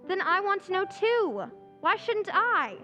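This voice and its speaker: A woman's voice: very high-pitched, big pitch swings, a little slow, bright, forceful tone, exaggerated voice. A woman playing a vain, argumentative young girl in an old-time radio play, with a haughty, pouting manner. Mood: petulant. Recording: dull lo-fi sound, faint music behind.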